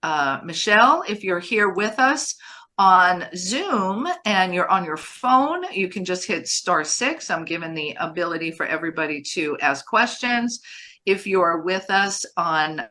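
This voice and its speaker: A woman's voice: fairly low pitch, expressive, measured pace, sharp tone. A woman in her sixties hosting a live online webinar, upbeat and chatty as she takes callers' questions. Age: 60s. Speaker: host.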